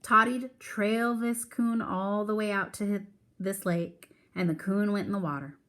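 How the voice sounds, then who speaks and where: A woman's voice: medium pitch, fairly even intonation, a little slow, a bit bright, close to an everyday voice. A woman voicing a proud old Southern country man spinning a folksy yarn in a storybook read-aloud. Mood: earnest storytelling.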